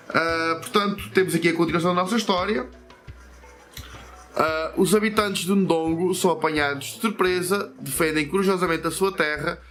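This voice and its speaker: A man's voice: a little high, fairly bright tone. A man with a European Portuguese accent hosts a history channel, reading a comic aloud and adding chatty, digressive commentary full of fillers and self-corrections.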